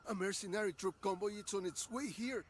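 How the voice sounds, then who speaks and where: An actor playing a captive Bolivian village priest in a video game, earnest and formal. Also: male voice, sits high, a little fast, slight background noise, close to an everyday voice. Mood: solemn, urgent. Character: devout, captive Bolivian village priest, gentle but urgent. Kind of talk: video game cutscene acting.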